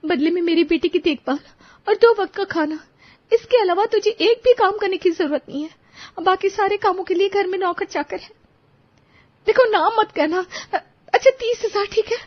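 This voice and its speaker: Anxious mother voiced by a woman, extremely high, thin-pitched, imploring and insistent, cartoon moral tale, slightly dull audio. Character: anxious, pleading mother desperate for household help.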